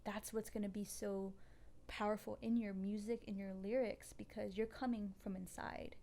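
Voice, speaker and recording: Woman, podcast co-host, medium pitch, casual, affirming, conversational. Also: level tone, normal speed, dark tone. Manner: supportive, affirming.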